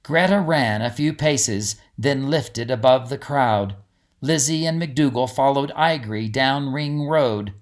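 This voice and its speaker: A man who is an author, narrating a storybook and giving casual commentary on it. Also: medium pitch.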